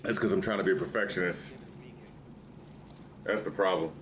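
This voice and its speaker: A man's voice: low-pitched, fairly even intonation, normal speed, slight background noise. A middle-aged American man giving casual, exasperated live gameplay commentary, poking fun at himself as he talks to viewers. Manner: exasperated, self-deprecating, talking to himself and viewers. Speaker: video game streamer. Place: home room, seated indoors.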